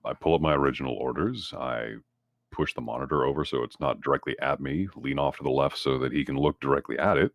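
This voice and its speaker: A man with an extremely deep voice talks casually as a player at a tabletop roleplaying game. The sound is dry and close. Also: steady tone, quick pace, no room sound.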